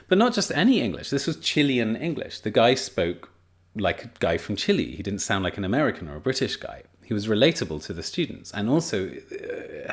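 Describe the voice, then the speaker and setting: Male teacher trainer, young adult, lowish voice, casual enthusiastic lecture delivery. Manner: chatty, enthusiastic, frequent fillers and restarts. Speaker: teacher trainer presenting a study.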